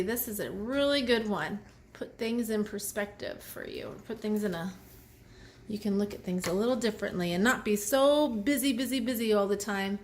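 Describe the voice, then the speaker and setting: A woman's voice: fairly high pitch, a little hiss or hum. A woman reading a children's story aloud expressively, with asides and questions to young listeners. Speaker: children's story reader and host.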